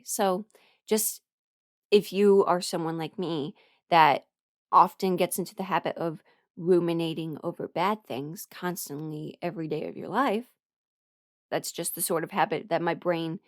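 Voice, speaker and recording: A woman talking casually and confidingly, giving personal advice in an informal, halting way and often correcting herself. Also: on the low side, no room sound, clean studio sound. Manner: informal, halting and self-correcting, chuckles while talking, mimics others in dialogue. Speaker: host, voice performer giving a personal ramble.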